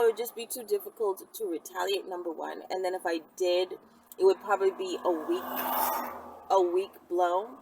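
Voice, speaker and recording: A middle-aged woman chatting casually to camera as she answers viewers, talking in a rambling way with frequent asides. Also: medium pitch. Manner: rambling, conversational, trailing into asides. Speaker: vlogger answering viewers' questions. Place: outdoors or in a vehicle at the roadside. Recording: distant mic, loud vehicle and ambient noise. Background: loud vehicle noise.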